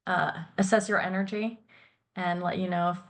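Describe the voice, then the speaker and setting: A woman's voice: fairly low pitch, fairly even intonation, moderate pace. A middle-aged woman, a finance company founder, talking candidly as an interview guest, laughing easily and using many fillers. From grew up in Thailand and England. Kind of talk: casual interview chat.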